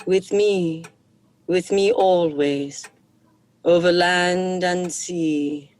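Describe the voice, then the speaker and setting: Slow, entranced, haunted lady. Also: fairly low pitch, steady tone, a little hiss or hum. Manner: hushed, deliberate, dreamlike. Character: entranced, haunted woman recounting eerie visions. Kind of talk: theatrical horror audio drama narration.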